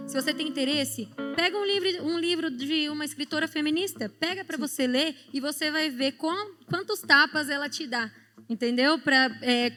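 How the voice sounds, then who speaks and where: Firm woman with a São Paulo accent. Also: high-pitched. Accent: São Paulo Brazilian Portuguese. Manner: firm, exhorting. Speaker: panelist at church youth service. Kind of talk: conversational church panel talk. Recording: music playing underneath.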